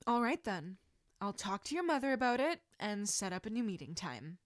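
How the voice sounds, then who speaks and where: A woman voicing an encouraging adult female martial arts teacher in measured, instructive story dialogue. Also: medium pitch, big pitch swings, no room sound, studio quality, close to an everyday voice. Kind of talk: audiobook-style character dialogue.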